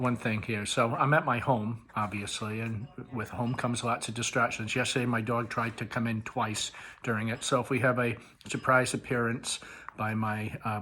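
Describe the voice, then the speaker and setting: A man's voice: mid-range voice, bright, forceful tone, slight background noise. A man presenting a read-along, narrating a play aloud with informal asides. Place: at home.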